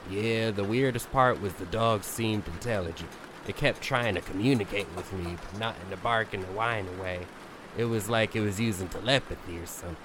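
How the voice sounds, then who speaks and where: A man's voice: fairly low pitch, moderate pace, background noise, natural voice. A man playing an uneasy wayfinder calling in to a night radio show in an audio drama. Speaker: radio call-in caller.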